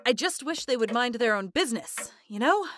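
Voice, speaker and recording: Woman acting as distraught high-voiced young mother. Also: expressive, fairly quick, fairly bright tone, slight background noise. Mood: worried, weary. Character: frightened, protective young mother and wife, distraught. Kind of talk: audio horror drama acting.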